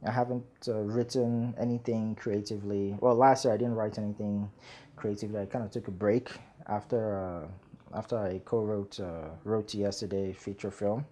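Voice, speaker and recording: Relaxed, rambling man. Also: on the low side, moderate pace. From Nigeria. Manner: relaxed, rambling, many fillers and laughs. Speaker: screenwriter guest. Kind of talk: casual interview chat.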